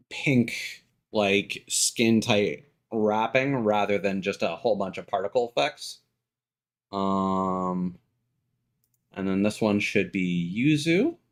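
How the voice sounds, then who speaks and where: A man hosting a livestream, giving casual, rambling, hesitant commentary on a game and chatting to viewers. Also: fairly low pitch. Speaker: livestream host.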